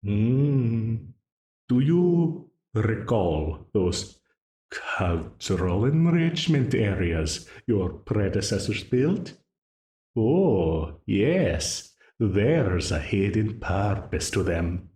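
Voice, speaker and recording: A man's voice: on the low side, sing-song, dark tone, roomy sound, full character voice. A man voicing a smug, dominant demon who taunts and laughs as he binds a ruler to a contract. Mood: menacing, mocking. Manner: slow, taunting, with frequent laughs. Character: dominant scheming male demon, smug and menacing. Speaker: voice actor in an audio roleplay. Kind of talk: audio roleplay acting.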